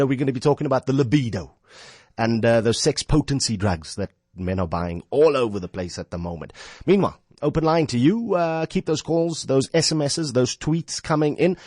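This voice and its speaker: A South African man presents a casual phone-in show from a dry, close studio. His voice sits at a medium pitch, and he chats with listeners in playful, animated slang. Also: no echo. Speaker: radio talk show host. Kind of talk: casual live phone-in radio chat. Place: radio studio.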